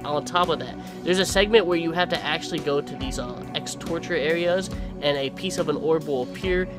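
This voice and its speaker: A young man commentating on a horror game in a jokey, excitable way. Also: on the high side, brisk. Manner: jokey, excitable, swears and shouts. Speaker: gameplay commentator. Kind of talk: gameplay commentary. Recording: game music behind voice.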